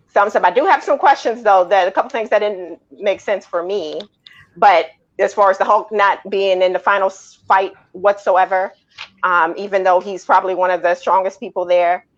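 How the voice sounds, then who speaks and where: Woman reviewer chatting, slightly dull sound. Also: medium pitch. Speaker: panelist on a film review show. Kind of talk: casual chat giving opinions.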